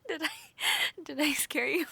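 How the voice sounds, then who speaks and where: A woman's voice: high voice, creaky, bright, forceful tone, no room sound, heavily acted. Woman acting playful young woman, close dry sound. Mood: playful, amused. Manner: teasing, chuckling, conversational with sighs and pauses. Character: playful teasing young woman, soldier-to-be, childhood friend. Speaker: voice actor in audio roleplay. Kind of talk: intimate audio roleplay acting.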